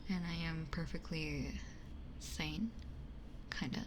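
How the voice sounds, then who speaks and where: A young woman speaks slowly in a breathy near-whisper, a little low in pitch. She chats casually and candidly with stream viewers, poking fun at herself. The voice sits close on a binaural microphone, with clear background noise. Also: fairly even intonation. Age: young adult. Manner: casual, candid, playfully self-mocking. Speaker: ASMR livestreamer. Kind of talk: whispered ASMR chat with viewers. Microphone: 3Dio binaural ASMR microphone, close up.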